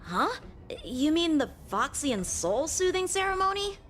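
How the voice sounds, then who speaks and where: A woman playing a lively, earnest young military trainee in a video game, chatty and expressive. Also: high-pitched, a little slow, a little hiss or hum, heavily acted. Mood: helpful, unsure. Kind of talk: scripted video game voice acting.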